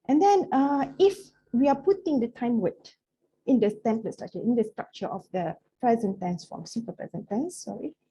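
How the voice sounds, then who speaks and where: A woman's voice: a little high, expressive, moderate pace, mellow, low-quality recording. A Malaysian woman whose first language is Malay, giving an academic talk in English and often checking in with the audience. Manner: conversational, checks in with "right?". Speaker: lecturer. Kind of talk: academic presentation.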